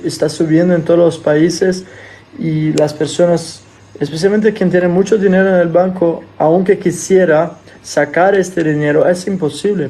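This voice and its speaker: A man with a fairly high but mellow, soft-edged voice talks through money matters in an easy conversational way. Spanish is not his first language, so he now and then stalls to hunt for a word. He builds his points through examples. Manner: non-native Spanish speaker, sometimes searching for words; thoughtful, explains with examples. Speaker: podcast co-host. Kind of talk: casual discussion.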